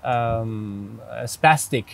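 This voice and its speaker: A man's voice: mid-range voice, extremely slow, creaky. A man from Austria, a native German speaker with an Austrian accent, gives explanatory interview answers hesitantly, searching carefully for words. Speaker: interview guest, psychologist and dolphin therapist.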